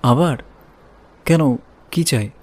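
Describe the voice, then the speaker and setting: An actor playing a wary, evasive roadside shopkeeper in an audio drama, defensive and colloquial. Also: male voice, medium pitch, slow. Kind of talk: audio story drama acting. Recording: music bed behind voice.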